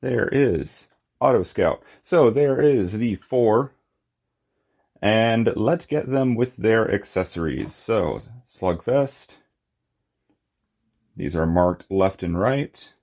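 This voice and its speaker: A man's voice: lowish voice, lively intonation, takes it slowly, creaky, a little mellow, dull audio. A man giving a casual, unscripted hands-on demonstration as a hobby reviewer, with informal muttered asides. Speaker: toy collector and reviewer.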